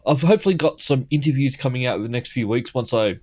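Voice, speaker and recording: A man's voice: medium pitch, animated, fast talker, a little mellow. A middle-aged man with a broad Western Sydney accent and an ordinary mid-range voice, chatting easily on a podcast. He hedges his words and wanders from point to point. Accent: Western Sydney Australian. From Western Sydney, Australia. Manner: easygoing, hedges with "sort of". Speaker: podcast co-host. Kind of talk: casual chat.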